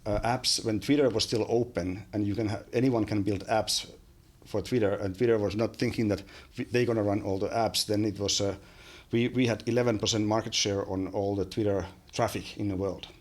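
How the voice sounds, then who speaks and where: A man's voice: fairly low pitch, fairly even intonation, a little fast, a little mellow. A man in his forties with a Finnish accent, a podcast guest talking casually with many fillers and restarts, recorded on a studio mic in a small room. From Finland. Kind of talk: casual interview chat. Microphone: studio mic on boom arm.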